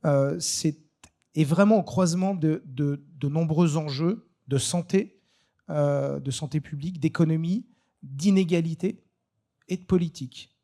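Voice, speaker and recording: A man hosting an informal live panel, speaking in a hesitant, halting way. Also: fairly high pitch, dark tone. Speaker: panel moderator.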